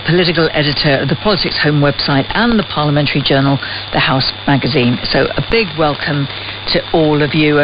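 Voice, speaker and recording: A man presents a radio politics hour, his voice a bit higher than most. He introduces guests briskly with a dry touch. It comes through a muffled shortwave signal with clear hiss behind it. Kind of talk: broadcast presenting.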